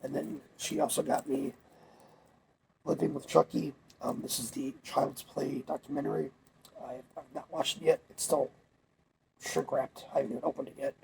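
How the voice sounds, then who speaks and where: Gravelly young man, congested. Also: medium pitch, normal speed, a little hiss or hum, rough recording. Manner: relaxed, rambling, many fillers and restarts. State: congested, recovering from a cold. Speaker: vlogger. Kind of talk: casual chat.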